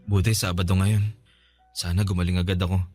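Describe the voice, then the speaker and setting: An actor playing a gentle twenty-year-old man who is sick and weak, talking apologetically in a radio drama. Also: sits low, flat delivery, a little fast, faint music behind. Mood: grateful, gentle. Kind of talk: Tagalog radio drama acting.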